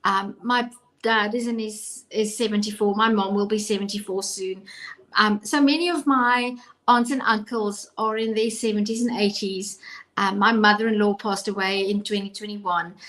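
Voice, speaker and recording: A woman's voice: on the high side, fairly bright tone, poor audio. Chatty fifty-year-old woman co-host. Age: 50s. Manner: chatty, self-mocking, many restarts. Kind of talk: live-streamed talk show chat.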